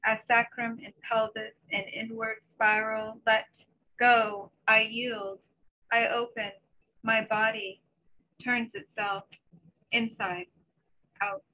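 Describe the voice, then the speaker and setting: A woman poet reads solemnly in a fairly high voice. She moves slowly through each phrase with little melodic movement. It sounds like a video call from a bedroom. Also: flat delivery. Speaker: poet, guest reader. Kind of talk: solemn, measured poetry reading. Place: bedroom, indoors. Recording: dull video-call audio with faint noise.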